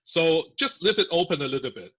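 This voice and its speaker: A man who is a software engineer, a guest in a casual podcast interview, explaining ideas in a chatty way with lots of fillers and laughs. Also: on the high side, expressive, brisk, slightly rough. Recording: muffled lo-fi audio.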